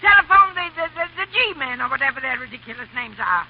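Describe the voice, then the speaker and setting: An older woman acting an imperious, sharp-tongued rich grandmother in a 1930s radio comedy, bossy and snapping, with a raspy, creaky voice. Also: high voice, expressive, fast, slight background noise. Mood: agitated, commanding. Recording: dull, lo-fi old radio recording.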